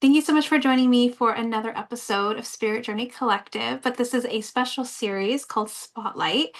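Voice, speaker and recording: A woman hosting a show, reading tarot for a guest in long, flowing, encouraging talk. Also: a little high. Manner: talkative, warmly encouraging, talks in long flowing runs. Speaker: host and tarot reader. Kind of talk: casual chat, giving a tarot reading.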